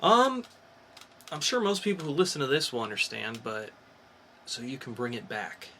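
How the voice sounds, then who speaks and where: A man's voice: mid-range voice, normal speed, bright, forceful tone, a little hiss or hum. A man hosting a podcast, talking casually about geek news in an informal, explanatory way with some swearing. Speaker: podcast host.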